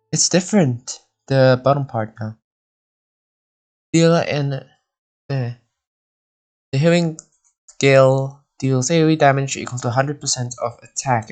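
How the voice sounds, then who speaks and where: A man's voice: medium pitch, darkish tone. A man doing casual live gameplay commentary, rambling and thinking aloud. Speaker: game streamer.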